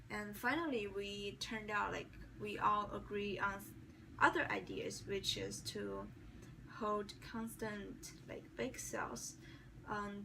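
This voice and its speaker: A woman's voice: medium pitch, creaky, a bit bright. Hesitant young woman with a Chinese accent. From China. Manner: hesitant, many restarts and fillers. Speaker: interviewee. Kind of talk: mock job interview answers. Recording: distant mic, faint background noise.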